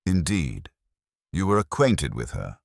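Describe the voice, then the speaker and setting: A man voicing a mild, courteous police inspector, gentle and understated but persistent, in a mystery story. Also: rumbling low, big pitch swings, a little slow, gravelly, dry recording, studio quality, heavily acted. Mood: mild, probing.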